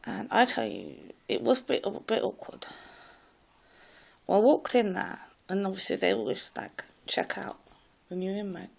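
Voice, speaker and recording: A woman with a posh English public school accent and a slight clipped American edge, telling her own story in a loud, rambling way with many hesitations. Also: mid-range voice, very animated, normal speed, gravelly. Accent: posh English public school with a slight American Ivy League clip. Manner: hesitant, many fillers and restarts. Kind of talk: rambling personal monologue.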